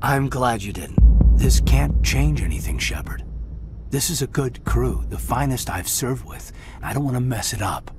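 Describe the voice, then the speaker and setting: An actor voicing an earnest, loyal male soldier in a video game, soft-spoken and sometimes close to a whisper. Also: medium pitch, expressive, normal speed, natural voice. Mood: tender. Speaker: soldier serving under the commander. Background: game music.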